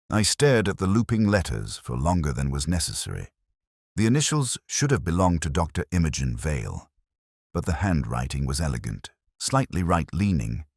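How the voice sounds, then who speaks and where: Male narrator for melancholy detective fiction. Extremely deep, expressive intonation, measured literary delivery. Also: husky, no echo, clean studio sound. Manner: measured, brooding, melancholy, formal. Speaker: first-person detective narrator of an audio mystery. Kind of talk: scripted literary narration, slow-burning detective story.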